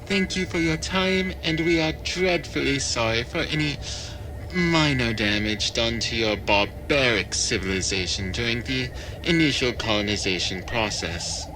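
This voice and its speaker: A man's voice: medium pitch, measured pace, music in the background, natural voice, rough recording. A man voicing a condescending alien colonizer in an audio drama, with a patronizing salesman's politeness. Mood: smugly polite.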